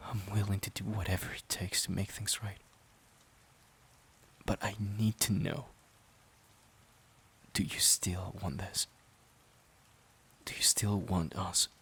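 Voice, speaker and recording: A man's voice: deep voice, fairly bright tone, slightly rough, heavily acted. A man playing a wounded, devoted boyfriend who pleads hesitantly and earnestly in an intimate audio drama. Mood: pleading. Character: wounded, devoted boyfriend desperate to save relationship.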